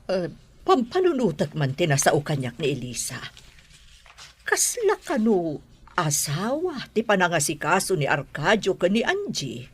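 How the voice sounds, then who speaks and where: An agitated, suspicious mother in a radio drama. Her voice is low and husky, with very lively intonation. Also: a little slow, heavily acted. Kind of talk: Ilocano radio drama acting.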